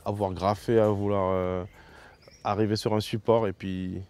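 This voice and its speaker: A man from French Guiana speaking casually as an interviewed graffiti artist, in a halting way with repeated words. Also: on the low side, little pitch movement, noisy background. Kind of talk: casual spoken interview about own work. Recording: loud music under the voice.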